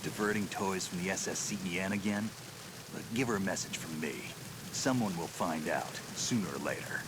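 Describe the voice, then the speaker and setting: An actor playing a gruff middle-aged soldier on a video game radio call, speaking in a terse, dry, understated way. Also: male voice, low-pitched, moderate pace, noisy background, close to an everyday voice.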